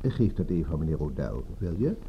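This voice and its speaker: An actor playing a smooth, commanding boss in a radio play, formal and insinuating, with veiled menace. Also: male voice, very deep voice, sing-song, a little fast, full character voice. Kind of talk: radio drama dialogue. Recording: muffled, noisy radio play recording.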